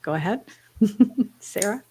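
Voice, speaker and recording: A woman's voice: mid-range voice, animated, slow, dark tone, breathy edge, poor audio. A woman from Michigan hosting a livestream talk show, chatty and upbeat with frequent restarts. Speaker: show host.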